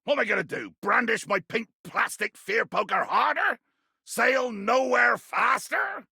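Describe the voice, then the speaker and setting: Raspy, wildly theatrical pirate berserker. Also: male voice, extremely high pitch, lively intonation, measured pace, no room sound, clean studio sound, exaggerated voice. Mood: menacing, indignant. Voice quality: gravelly, raspy. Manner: bombastic, florid archaic pirate diction, erupting into shouts. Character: ranting, grandiose, bloodthirsty male pirate berserker, comically menacing. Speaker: voice actor. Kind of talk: theatrical video game character acting.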